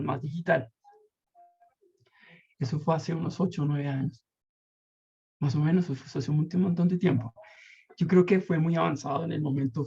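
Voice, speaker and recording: Husky gravelly Colombian man. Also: a little high, darkish tone. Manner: rambling, reflective, many fillers and restarts. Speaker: interviewee. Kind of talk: casual storytelling interview. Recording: muffled lo-fi recording.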